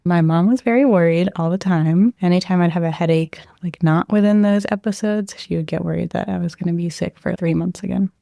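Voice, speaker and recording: A young woman, a medical resident, answering interview questions in a soft, reflective way, often hedging with "I think" and "like.". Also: fairly low pitch, a little fast, vocal fry, hardly any pauses, dry recording, studio quality. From Israel, raised near Boston.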